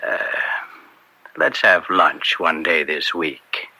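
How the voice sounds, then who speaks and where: An actor playing a middle-aged political fixer in an old-time radio drama, persuasive and polished, with a slick, insinuating way of talking. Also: male voice, a bass voice, takes it slowly, sharp tone, no room sound, exaggerated voice, rough recording. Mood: conciliatory. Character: smooth-talking political fixer, persuasive and slippery family friend.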